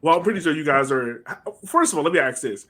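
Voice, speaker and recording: A man's voice: a little high, lively intonation, quick pace, pushed and bright. A man hosting a casual podcast interview, asking questions in an easy conversational style. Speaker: podcast host.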